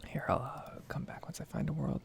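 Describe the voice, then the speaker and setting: Hushed, very creaky ASMR whisperer. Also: mid-range voice, little pitch movement. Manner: hushed, soft-spoken, unhurried. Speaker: gaming video host. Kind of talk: ASMR gameplay ramble.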